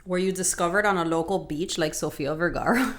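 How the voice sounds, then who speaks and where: A young woman from Miami hosts a podcast and reads out fan questions. She teases and talks bluntly, laughing easily. Her voice sits a bit low. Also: fairly quick. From Miami, Florida. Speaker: podcast host. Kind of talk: casual chat.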